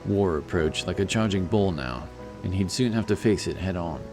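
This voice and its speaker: A man's voice: low-pitched, lively intonation, normal speed. A man reading scripted fantasy narration as a storyteller, with music behind his voice.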